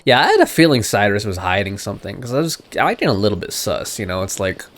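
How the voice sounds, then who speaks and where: A man's voice: lowish voice, animated, fast, fairly bright tone, soft background music. A man giving casual, slangy, reactive commentary while playing through a video game. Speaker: game streamer.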